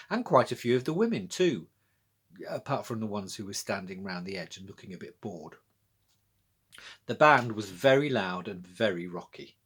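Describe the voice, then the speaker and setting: British guy reading his kids story, really up and down intonation, bit husky. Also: on the low side, a bit bright. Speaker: author-narrator. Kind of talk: scripted children's storybook narration.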